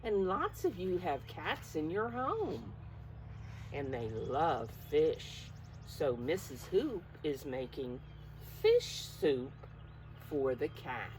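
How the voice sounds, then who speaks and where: A woman reads a story to preschoolers in a slightly low voice with very lively, swooping intonation. She takes her time, coaxing her young listeners and asking them to guess along. There is some background noise in the recording. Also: slow. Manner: expressive, coaxing, addresses listeners directly. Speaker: preschool teacher reading a picture book. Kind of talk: animated read-aloud to young children with guessing questions.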